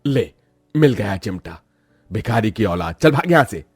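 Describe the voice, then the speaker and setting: A man's voice: fairly low pitch, big pitch swings, a little slow, husky, dry recording, studio quality, soft background music, voice pushed down, exaggerated voice. A man voicing a rude, mean street utensil seller with a contemptuous manner. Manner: loud, contemptuous.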